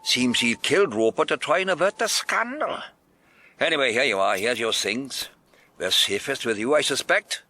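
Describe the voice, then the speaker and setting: An actor playing a gruff, blustering chief inspector in a period detective radio drama. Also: male voice, medium pitch, animated, normal speed, bright, forceful tone. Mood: matter-of-fact. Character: blustering, hard-boiled male police chief inspector.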